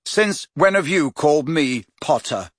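A man's voice: on the high side, wildly swooping pitch, takes it slowly, a bit bright, slightly rough, dry recording, studio quality, pitched above the performer's own voice, exaggerated voice. A man reading the part of a stubborn, plain-spoken teenage boy in a storybook, firm and earnest. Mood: defiant.

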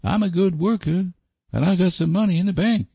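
A man's voice: high-pitched, a little fast, vocal fry, a little mellow, husky, no echo, voice pushed up, exaggerated voice. A man voicing a lank, solemn young farmhand suitor in a storybook, with a blunt rustic drawl. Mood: stubbornly hopeful. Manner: drawling rustic dialect, terse and abrupt. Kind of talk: audiobook character voice.

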